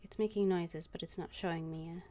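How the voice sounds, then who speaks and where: A woman game streamer chatting casually to viewers in a hesitant, halting way. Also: on the low side, steady tone, warm tone, slight background noise. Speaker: game livestreamer. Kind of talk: casual livestream chat.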